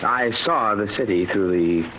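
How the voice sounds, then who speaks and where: An actor in an old-time radio drama playing an awed, horrified visitor to the future, speaking hesitantly and earnestly with stammers. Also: male voice, lowish voice, a little slow, natural voice. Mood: awed, shaken. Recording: noisy, muffled vintage radio recording.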